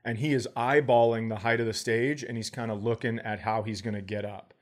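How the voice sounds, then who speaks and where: A man's voice: lowish voice, fairly even intonation, a little fast. An American man co-hosting a comedy podcast, telling casual stories in a profane, joking, storytelling manner.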